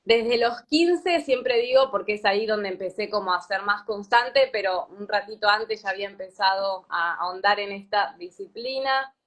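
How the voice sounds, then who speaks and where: A woman in her thirties with an Argentine Spanish accent, from Patagonia, telling her story as a guest in an interview, thoughtful and pausing often with fillers. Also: mid-range voice, fairly bright tone. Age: 30s. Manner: thoughtful, many fillers and restarts. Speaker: yoga teacher, guest. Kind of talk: reflective interview talk.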